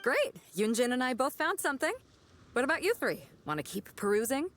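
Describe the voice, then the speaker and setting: A woman's voice: sits high, sing-song, brisk, fairly bright tone. An actress voicing a bold, generous woman ship captain in a video game, breezy, confident and casual. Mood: hearty, generous. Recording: background music under dialogue.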